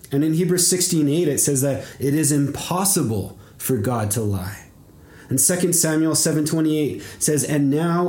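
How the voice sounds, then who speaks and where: Young male pastor, earnest measured sermon delivery, slightly high voice, roomy echo. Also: a little mellow. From Canada. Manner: earnest, measured preaching with frequent word repeats. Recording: echoey room.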